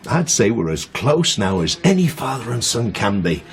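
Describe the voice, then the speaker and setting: A man's voice: fairly low pitch, big pitch swings, moderate pace. An actor playing a genial, sentimental older father in a radio drama, talking in a hesitant, conversational way. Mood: reflective, sentimental. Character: genial, sentimental older father, semi-retired family furniture boss. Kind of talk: naturalistic radio drama acting.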